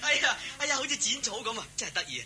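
A man with a Hong Kong Cantonese accent playing a simple, playful, eager young farm servant in a radio drama, chattering excitedly and stumbling over words. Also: extremely high pitch, fast, heavily acted. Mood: giddy, amused. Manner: excitable, stammering, deferential chatter. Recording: hissy old radio drama recording.

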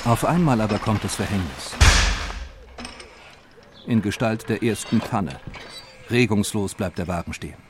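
A man playing a motoring pioneer who recalls public mockery with wry drama, in measured, expressive storytelling for an audio documentary. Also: fairly low pitch, noisy background. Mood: wry, dramatic. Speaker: voice actor reading historical eyewitness accounts. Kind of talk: dramatized scripted reading of a memoir.

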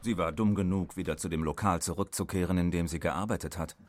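An actor playing a cold-blooded, smug villain in a German radio drama, mockingly polite and menacing. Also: male voice, deep voice, fairly even intonation, quick pace, a little mellow, no room sound, natural voice. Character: smug, cold-blooded male villain holding men at gunpoint.

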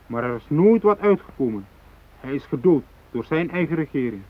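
An actor in a radio drama playing a fanatical, unyielding hijacker who speaks in cold, threatening declarations. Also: male voice, fairly high pitch, lively intonation, moderate pace. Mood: hard, threatening. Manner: declarative, threatening, ideological speechmaking. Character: fanatical South Moluccan hijacker, unyielding, cold, righteous militant. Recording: thin phone-like radio drama recording.

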